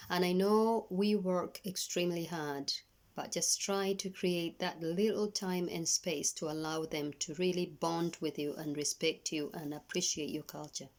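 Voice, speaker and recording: A middle-aged Tanzanian woman whose first language is Swahili, giving earnest, hesitant parenting advice in a personal video message. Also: fairly low pitch.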